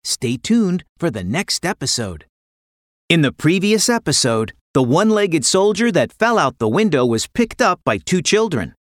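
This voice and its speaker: A woman narrating a scripted children's story in an expressive, dramatic way. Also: on the low side, normal speed, no room sound, studio quality.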